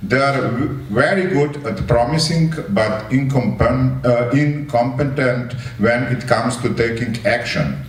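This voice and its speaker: A man with a Slovenian accent speaking and reading haltingly at a public event. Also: medium pitch. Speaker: civil servant on a panel. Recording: echoey room, far from mic.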